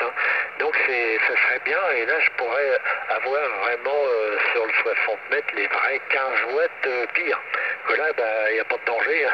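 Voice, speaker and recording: Man on noisy, muffled shortwave radio. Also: medium pitch. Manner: rambling technical explanations, frequent tag words. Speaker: amateur radio operator. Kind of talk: casual radio chat. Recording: noisy band-limited shortwave radio transmission. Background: loud radio static and hiss.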